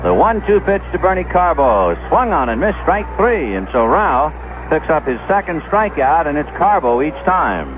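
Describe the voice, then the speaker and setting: Lively man calling baseball on vintage radio. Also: noisy background. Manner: measured, descriptive, storytelling between pitches. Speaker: baseball play-by-play announcer. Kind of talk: 1970s radio baseball commentary. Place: stadium broadcast booth. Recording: dull lo-fi vintage AM radio broadcast.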